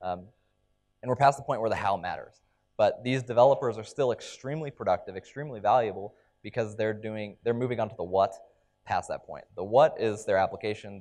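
A man's voice: lowish voice, darkish tone. A young man giving a conference keynote on a large stage into a headset mic, explaining ideas with frequent ums and restarts. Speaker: keynote speaker.

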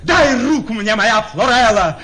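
A man's voice: extremely high pitch, animated, unhurried, bright, forceful tone, exaggerated voice. An actor playing an ardent young nobleman in love, with fervent, declamatory verse delivery in a radio play. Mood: joyful. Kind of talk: theatrical verse radio drama acting.

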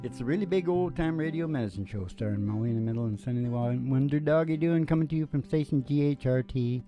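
A middle-aged man hosting a music show with playful, unhurried, rambling stage patter full of joking asides. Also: mid-range voice. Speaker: host of a music and comedy show. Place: small dim curtained stage room. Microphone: stand microphone. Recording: guitar playing behind the voice. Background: acoustic guitar.

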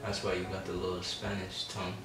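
Very deep-voiced young man, roomy sound. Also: completely flat delivery, fast, recorded from a distance, music in the background. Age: young adult. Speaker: guest, the host's boyfriend. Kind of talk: casual quiz chat. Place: small indoor room with gray walls, seated.

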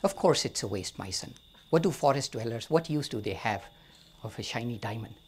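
A man voicing a calm, firm old forest sage who speaks gently but will not give way, in a husky voice. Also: mid-range voice, brisk, pitched below the performer's own voice.

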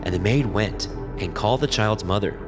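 A man narrating scripture from a script in a solemn, measured way. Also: on the low side. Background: music.

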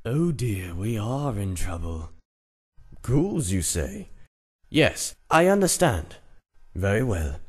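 A man's voice: fairly low pitch, big pitch swings, slow, long pauses, darkish tone. A man voicing a courteous, composed butler in an anime parody dub, polite, formal and droll. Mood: composed concern. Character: courteous, composed male butler serving a household.

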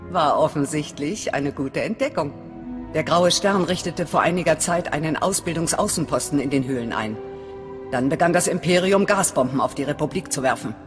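A woman's voice: low-pitched, moderate pace, big-room sound, over music, natural voice. An actress playing a hard, suspicious female resistance leader in a video game, curt and commanding. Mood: commanding, grim. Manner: stern, clipped, commanding. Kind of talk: dramatic video game acting.

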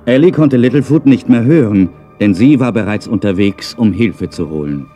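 A man's voice: lowish voice, expressive, normal speed, mellow, faint music behind. A man narrating a scripted children's audio story.

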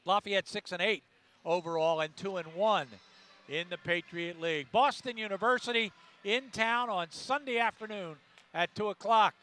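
An older male sports announcer with a high, bright, forceful voice. He rattles off final numbers and upcoming games from a courtside desk in an arena, speaking into a headset mic. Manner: brisk reading of stats and promos. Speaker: play-by-play announcer. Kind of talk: broadcast sports wrap-up.

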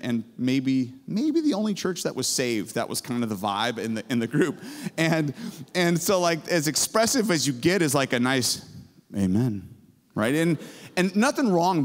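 A man in his forties, a professor giving an energetic chapel sermon on stage with a handheld mic, excitable and booming, full of storytelling. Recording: hall reverb.

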